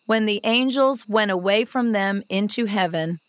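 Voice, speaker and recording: A woman narrating a scripted Bible story in a measured, reverent storytelling delivery. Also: medium pitch, clear, resonant tone, dry recording.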